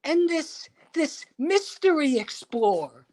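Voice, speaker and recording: A woman playing a haunted, anxious narrator of a gothic poem, halting and theatrically emphatic in a staged reading. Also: high voice, big pitch swings, a little mellow, exaggerated voice. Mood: anxious, fearful.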